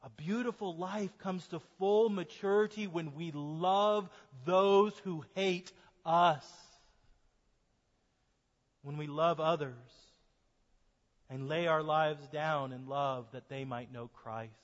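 Man, pastor, high voice, earnest preaching, dull recording. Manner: earnest preaching, slows for emphasis. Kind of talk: sermon. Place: church sanctuary. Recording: dull sermon recording in a reverberant church.

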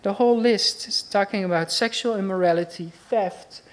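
A woman's voice: medium pitch, normal speed. A woman with a Dutch accent preaching a sermon in an earnest, conversational way, asking her listeners questions.

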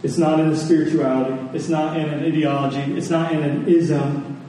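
A man's voice: a little high, little pitch movement, fairly quick, dark tone. A man preaching a sermon to a congregation, using rhetorical questions and repeated phrases for emphasis. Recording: very echoey room, distant mic, faint noise.

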